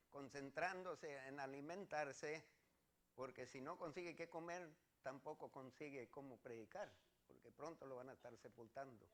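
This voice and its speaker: A male pastor with a Mexican accent and a fairly high voice delivers a sermon. He teaches from scripture step by step, leaning on repetition for emphasis. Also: a bit bright. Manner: emphatic, repeats phrases, explains step by step.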